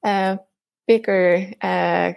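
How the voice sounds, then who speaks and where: A woman who teaches languages and writes books, speaking as an interview guest in a thoughtful, hesitant way with many pauses. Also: medium pitch, steady tone, creaky, darkish tone, smooth, no room sound.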